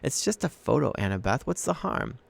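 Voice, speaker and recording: A man's voice: medium pitch, big pitch swings, a little fast, vocal fry, pitched above the performer's own voice, exaggerated voice. A man voicing a nervous, jumpy young man in an audiobook. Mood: anxious.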